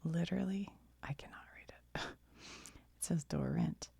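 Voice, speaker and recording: An American woman whispering in a casual ASMR show-and-tell style, musing aloud to the viewer as she reads things out in a breathy, gravelly voice. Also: deep voice, moderate pace, dark tone, dry recording.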